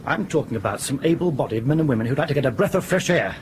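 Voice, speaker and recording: A man's voice: mid-range voice, lively intonation, racing, darkish tone, slight background noise, lightly acted. A man playing a polite but insistent, frustrated airline captain in a radio drama, sceptical and questioning. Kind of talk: broadcast radio drama acting.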